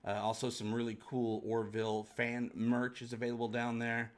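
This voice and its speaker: A man's voice: mid-range voice, monotone, moderate pace. A man hosting a fan livestream, chatting casually and enthusiastically with his viewers.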